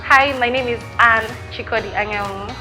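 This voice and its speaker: A woman's voice: a little high, moderate pace, pushed and bright, soft background music, rough recording. Young Nigerian woman introducing herself. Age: 20s. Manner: plain factual self-introduction. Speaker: reality show contestant.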